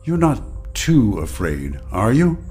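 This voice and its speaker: A man playing a grave narrator and relentless inner voice in a radio drama, solemn and deliberate. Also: lowish voice, wildly swooping pitch, darkish tone, soft background music, heavily acted. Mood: bleak, relentless.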